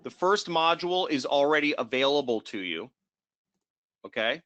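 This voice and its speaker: A man hosting a live webinar Q&A, answering students in a brisk, assertive way and cutting in to wrap things up. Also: a little high, animated, a bit bright, dull audio. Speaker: course host answering student questions.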